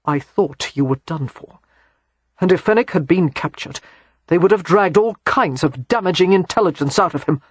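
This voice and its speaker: A man's voice: sits high, expressive, moderate pace, slightly rough, no room sound. A man voicing a steely, protective male war hero who speaks in a firm, clipped way. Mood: cool.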